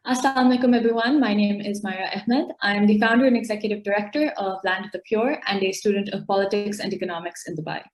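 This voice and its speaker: A woman's voice: mid-range voice, steady tone, fast talker, few pauses, echoey room. A woman who is a student and nonprofit founder, giving an upbeat prepared statement.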